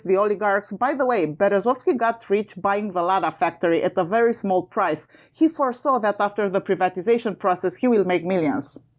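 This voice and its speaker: A woman from Romania co-hosting a podcast in a casual chat, laughing often and adding wry asides. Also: mid-range voice. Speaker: podcast co-host.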